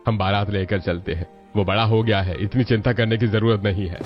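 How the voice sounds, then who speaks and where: A man plays a practical middle-aged cartoon father with a low voice. He is easygoing and matter-of-fact, over faint music in slightly dull audio. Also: fairly even intonation, fairly quick, lightly acted. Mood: reassuring.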